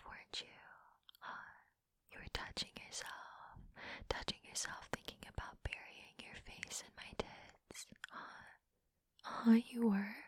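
A woman doing a scornful, gravelly college girl. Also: medium pitch. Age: young adult. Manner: taunting, contemptuous, swears constantly. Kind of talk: audio roleplay.

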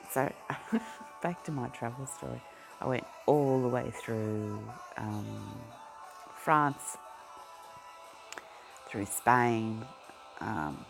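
A man with a broad Australian accent rambles through a casual vlog chat and keeps losing his thread, filling gaps with ums. His voice has a gravelly, creaky edge and an unhurried pace. A song plays clearly behind him. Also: medium pitch, music in the background, poor audio. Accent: Australian, broadened by life in Queensland. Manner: meandering, easily sidetracked, many ums and restarts. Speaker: vlogger. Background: a pop song playing.

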